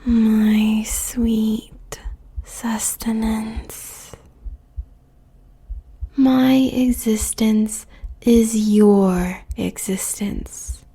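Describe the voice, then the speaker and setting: A woman playing a possessive, seductive succubus demon queen in a breathy, teasing whisper, as an intimate audio roleplay. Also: fairly high pitch, warm tone, exaggerated voice. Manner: slow, teasing, drawn-out phrases.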